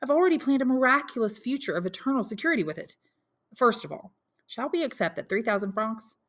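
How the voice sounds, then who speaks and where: A woman's voice: a little high, very animated, fast talker, pitched above the performer's own voice. A woman voicing a sorrowful, tender mother of a grown son in an audiobook, with emotional delivery. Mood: anxious. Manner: sighing, murmuring, emotional. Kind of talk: audiobook character dialogue.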